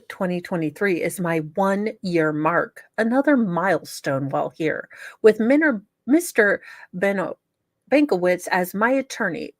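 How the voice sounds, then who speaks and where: A woman's voice: medium pitch, dry recording, studio quality. A woman hosting a comedy show, mixing theatrical, sarcastic dramatic reading with casual commentary. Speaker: show host.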